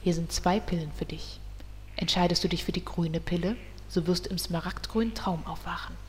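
A woman's voice: fairly low pitch, fairly even intonation, moderate pace, long reverb tail, slight background noise, lightly acted. An actress playing a solemn female elf priestess with a grand, mock-solemn prophetic delivery.

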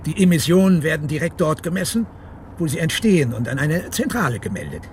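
Shrewd police inspector, animated higher voice, deliberate, car noise behind, radio crime drama. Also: male voice. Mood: explaining, measured. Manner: explanatory, deliberate, probing. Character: measured, shrewd male police inspector, wary official. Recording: noisy vehicle interior ambience. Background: car driving noise.

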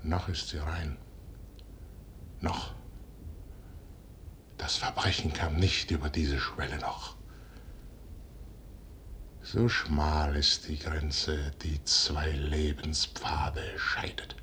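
Slow, deep-voiced brooding general. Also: male voice, little pitch movement, pushed and bright, full character voice. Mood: grave, hushed. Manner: declamatory, weighty, solemn delivery with measured pauses. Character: proud, brooding middle-aged male general, commanding and torn. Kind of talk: 1950s German radio play acting, classical stage declamation. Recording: noisy 1950s radio recording with rumble.

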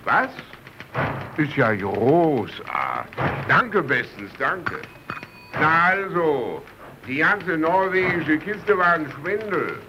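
An actor playing a cynical night-shift newspaper editor in a radio play, exclaiming and handing out orders. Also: male voice, on the high side, very animated, slow pace, highs cut off. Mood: excited. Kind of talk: German radio play acting. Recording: noisy old radio drama recording.

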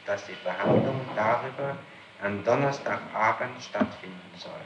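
A man's voice: lowish voice, moderate pace, lo-fi sound. A man speaking as a public prosecutor, questioning formally and insistently in an official manner. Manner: insistent, official, citing witness statements. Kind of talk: formal interrogation. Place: echoey room, taped interrogation. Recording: noisy, echoey archival tape.

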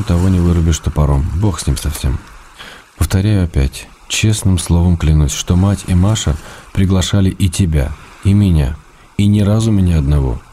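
An actor playing a weary, affectionate writer husband, reading his letters in a restrained, gentle way in a radio play. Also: low voice, slight background noise, close to an everyday voice. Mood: troubled, reproachful.

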